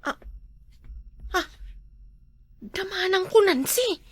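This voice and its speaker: An actress with an Ilocano accent playing a defensive, bickering village wife in a comedy drama. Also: female voice, extremely high pitch, lively intonation, slow pace, exaggerated voice. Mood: flustered, apologetic. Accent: Ilocano, northern Philippines.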